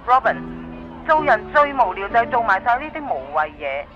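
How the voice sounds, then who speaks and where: A woman's voice: on the high side, sing-song, normal speed. An actress playing a stern, scornful middle-aged Hong Kong mother lecturing her daughter in a radio drama. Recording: dull lo-fi voice, loud music behind.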